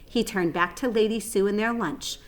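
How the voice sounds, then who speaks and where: A woman's voice: medium pitch, moderate pace. A woman reading a children's storybook aloud as a teacher would for a class.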